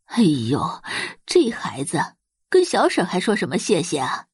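A woman voicing a plump middle-aged village auntie in an audio drama, warm and fussing, with folksy drawn-out exclamations. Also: on the low side, huge pitch swings, breathy edge, dry recording, studio quality, exaggerated voice.

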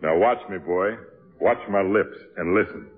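A man's voice: low-pitched, unhurried, husky, soft background music. A man playing a genial, fatherly jazz trumpeter with a folksy, encouraging manner in a 1950s radio drama. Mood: patient, instructive. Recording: muffled vintage 1950s radio recording.

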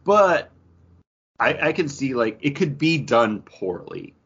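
A man's voice: medium pitch, big pitch swings, slow, fairly bright tone, husky. A man hosting a podcast in a casual, conversational style. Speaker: podcast host. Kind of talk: casual chat, ranking foods. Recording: dull, muffled audio.

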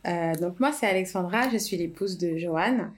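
Young woman from portugal, lower voice, chatty and hesitant on a podcast, lots of ums. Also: normal speed. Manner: hesitant, many fillers, earnest. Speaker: podcast co-host, brand founder. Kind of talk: casual conversational podcast.

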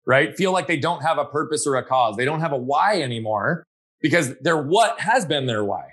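A man's voice: a little high, lively intonation, normal speed, fairly bright tone, husky. A man in a conversational interview, speaking in an earnest, reflective way and often checking in with "right?". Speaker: guest.

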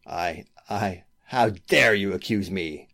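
A man's voice: deep voice, slow pace, vocal fry, pushed and bright, full character voice. A man playing a scheming, formal lawyer with a killer's intensity in a 1940s-style radio drama. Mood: shocked indignation. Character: scheming, smooth-talking male lawyer turned desperate killer. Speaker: voice actor.

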